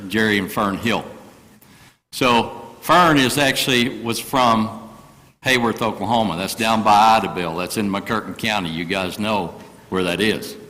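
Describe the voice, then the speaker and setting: A man's voice: on the low side, unhurried, a bit bright, long reverb tail, rough recording. An older man, preaching in a church through a microphone and telling a personal story in an earnest, folksy way. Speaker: guest preacher. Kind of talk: sermon. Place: church sanctuary. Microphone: microphone through speakers.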